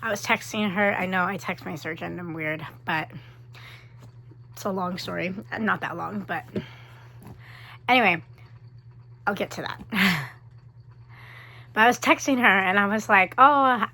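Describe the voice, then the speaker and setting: A woman vlogging to her camera in a chatty, animated way, with a cold and her voice starting to fade. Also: medium pitch, slight background noise. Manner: chatty, animated, quick asides. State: sick with a cold, voice fading. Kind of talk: casual chat to camera.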